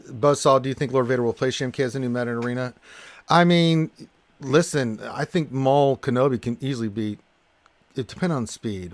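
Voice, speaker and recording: An American man hosts a casual gaming livestream. His voice sits at a medium pitch and sounds dry and close to the mic. He reads comments aloud and answers in a jokey, animated way, often exclaiming. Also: no room sound. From Houston, Texas, United States.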